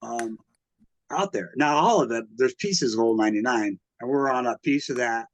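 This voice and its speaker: A man's voice: medium pitch, moderate pace, no room sound, low-quality recording. A man talking casually as an interview guest, explaining things in a rambling, conversational way. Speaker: museum founder and curator, interview guest.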